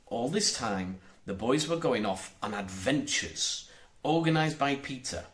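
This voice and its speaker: A man narrating a children's storybook in a measured, deliberate and expressive way. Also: mid-range voice. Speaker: audiobook narrator.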